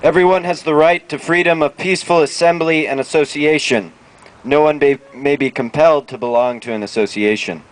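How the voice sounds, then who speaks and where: A man's voice: a little high, brisk, rough recording. A man reading a formal document aloud at a public event in an outdoor plaza. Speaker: public reader at a rights event.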